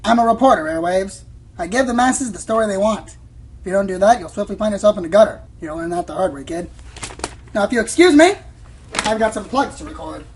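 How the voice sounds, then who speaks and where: A woman playing a cynical, worldly-wise pony news reporter in a radio play, brisk and condescending. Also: medium pitch, animated, slight background noise, natural voice. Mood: scornful. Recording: roomy, off-mic, faint music behind.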